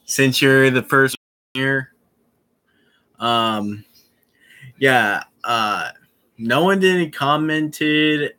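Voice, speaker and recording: A young man chatting casually to his viewers on a live stream, rambling and halting, with many fillers and restarts. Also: mid-range voice, pushed and bright, clear, resonant tone, poor audio.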